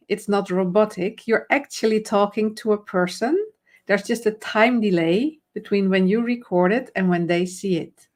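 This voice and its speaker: A woman with a Dutch accent speaks as a confidence coach in a live online conversation. Her English is fluent but not native, and she explains things in a friendly, loose way, restarting sentences and adding fillers as she goes. Her voice sits at an ordinary middle pitch. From Netherlands. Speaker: confidence trainer and host.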